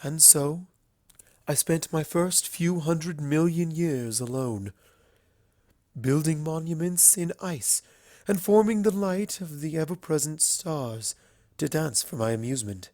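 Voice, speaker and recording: A woman voicing an ancient regal alicorn princess in a storybook reading, aloof and coolly wry, with a stately, formal, measured delivery. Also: low voice, dark tone, no echo, clean studio sound.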